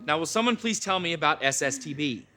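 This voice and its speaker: A man's voice: on the high side, animated, quick pace, pushed and bright, faint music behind. A man playing a wry hard-boiled private eye. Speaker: lead detective character. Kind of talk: noir parody acting.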